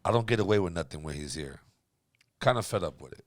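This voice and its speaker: Lowish guy hosting a podcast, dry sound, blunt and sweary, casual talk. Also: lively intonation, fast, dry recording. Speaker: podcast host. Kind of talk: casual group podcast chat.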